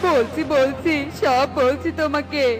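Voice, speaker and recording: Woman voicing a high-pitched scheming cartoon crow. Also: steady tone, measured pace, over music. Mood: smug. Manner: exclamatory, melodramatic, wheedling. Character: sly lazy male crow, scheming and whiny. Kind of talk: children's cartoon voice acting.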